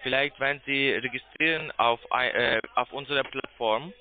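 A man working as a call center agent, giving a halting, repetitive sales pitch as a non-native German speaker. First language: not German. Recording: tinny narrow phone line.

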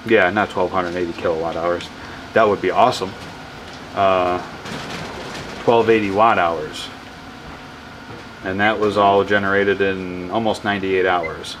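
A man casually explaining his wind turbine setup in a workshop, rambling and correcting himself with excitement. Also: low voice, a little slow, long pauses. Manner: enthusiastic, rambling, self-correcting. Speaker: hobbyist wind power vlogger. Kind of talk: casual off-the-cuff demo narration.

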